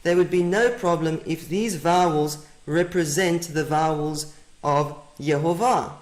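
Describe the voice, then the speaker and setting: A man's voice: fairly high pitch, a little slow. A man whose first language is Afrikaans, giving a methodical teaching presentation and repeating his key points. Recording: roomy echo.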